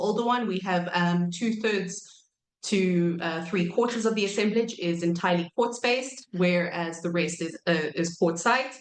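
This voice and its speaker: A woman in her thirties giving an academic lecture while croaky from a cold, pausing often with ums and correcting herself. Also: on the low side, echoey room, poor audio. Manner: hesitant, many ums and self-corrections. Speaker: archaeology researcher giving a talk.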